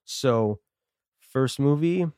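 A man's voice: mid-range voice, expressive, extremely slow, dark tone, clear, resonant tone, no echo, studio quality. A man in his thirties co-hosting a casual podcast, talking in a laid-back, rambling way with lots of fillers. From Connecticut, USA. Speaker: podcast co-host. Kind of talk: casual chat.

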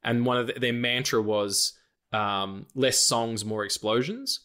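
A man co-hosting a film podcast, chatting casually, excitable and jokey, swearing freely. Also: mid-range voice, a little slow. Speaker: podcast co-host. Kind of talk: casual chat.

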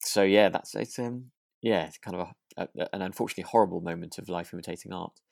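A man co-hosting a podcast, talking casually in a gentle, hesitant way. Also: low-pitched, fast talker, vocal fry, dry recording, clean studio sound. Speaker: podcast co-host. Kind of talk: casual chat discussing a radio drama.

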